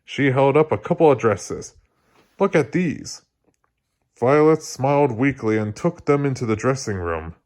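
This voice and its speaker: A man narrating a children's story aloud in an expressive read-along style. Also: mid-range voice, normal speed, few pauses. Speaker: storybook reader.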